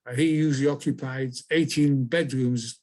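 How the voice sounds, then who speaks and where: An older man, born in Chile and raised in England, answering interview questions in a halting way, often restarting his phrases. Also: mid-range voice, fairly even intonation, a little mellow. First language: Spanish. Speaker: author and guest.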